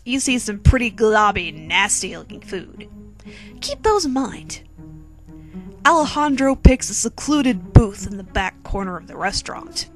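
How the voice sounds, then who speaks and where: A woman giving a dramatic comic story reading as narrator, playful and wry, speaking straight to the listener. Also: fairly high pitch. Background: faint music.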